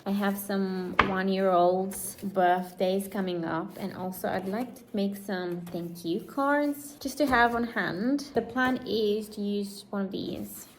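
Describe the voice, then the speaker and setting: Late-20s woman vlogging, chatty and thoughtful, mid-pitched voice. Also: takes it slowly, hardly any pauses, background noise. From Romania. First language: Romanian. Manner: chatty and reflective, with light laughs. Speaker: lifestyle vlogger. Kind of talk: casual vlog narration.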